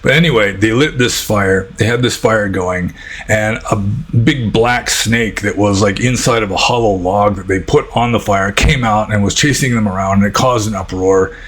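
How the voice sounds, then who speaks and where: A man with an American accent and a husky voice, talking informally and a little ramblingly as a Buddhist teacher answering questions. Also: lowish voice, moderate pace, fairly bright tone. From United States.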